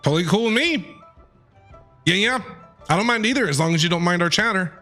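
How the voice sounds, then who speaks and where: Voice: mid-range voice, animated, brisk, pushed and bright, soft background music. A streamer giving casual, chatty live reactions to a video game, talking back to the characters aloud.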